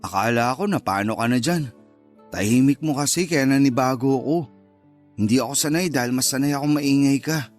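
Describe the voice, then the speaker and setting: An actor playing a cocky, flirtatious secret lover in a Tagalog radio drama, casual and coaxing. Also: male voice, medium pitch, brisk, darkish tone, soft background music, natural voice. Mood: teasing, flirtatious.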